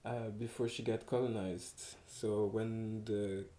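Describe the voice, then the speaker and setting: A man's voice: fairly low pitch, little pitch movement, slow pace, mellow. A young man from Paris with a French accent, speaking casually as a textile artist guest, with many hesitations, restarts and laughs. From France, Paris. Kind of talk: casual conversation. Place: small farmhouse room, indoors. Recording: echoey room.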